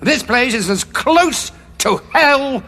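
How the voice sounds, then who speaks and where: An actor playing a war-weary, embittered time traveller who speaks in a bitter, confrontational way. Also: male voice, very high-pitched, animated, takes it slowly, bright, forceful tone, soft background music, full character voice. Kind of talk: audio drama acting.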